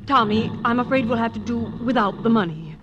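A woman plays an anxious young woman in an old radio crime caper. Her voice is high, pleading and breathlessly halting. The vintage recording has light noise behind her. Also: lively intonation, lo-fi sound, natural voice. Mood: dismayed, anxious. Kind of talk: 1940s radio melodrama acting.

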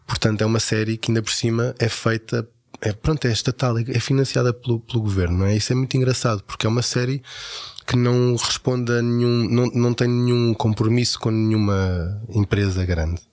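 A man's voice: medium pitch, fairly even intonation, fairly quick, darkish tone, no room sound, clean studio sound. A man with a European Portuguese accent talking casually and enthusiastically in an interview as a voice actor.

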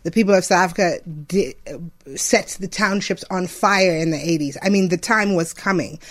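A person in their late thirties from Zambia, speaking as an interview guest in an earnest, thoughtful way, often pausing and restarting. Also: mid-range voice, steady tone, fairly bright tone. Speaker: interview guest, foundation representative. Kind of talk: reflective conversational interview.

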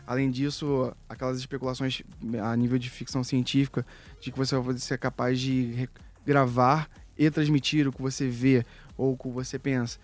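Homem especulando em voz alta. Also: male voice, medium pitch, little pitch movement, moderate pace. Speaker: podcast co-host. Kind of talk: casual tech podcast chat.

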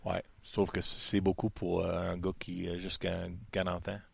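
A man, debating hockey trades on a radio show, halting and repeating words as he thinks. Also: low voice, monotone, gravelly, husky, no room sound. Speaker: hockey panelist. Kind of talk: casual sports debate.

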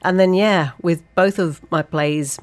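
A woman's voice: on the low side, unhurried. A woman, a theatre director, explaining festival timing rules in an assured way. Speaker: stage director. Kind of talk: interview answers.

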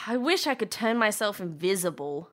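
A woman voicing an eager, kind young girl in a children's audio story, with a warm, enthusiastic manner. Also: mid-range voice, lively intonation, unhurried. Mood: glum, playful.

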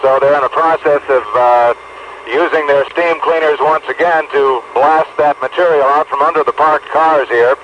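An American man giving a halting live radio report from a city street. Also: on the high side, fairly even intonation. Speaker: field news reporter. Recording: dull, noisy phone news line.

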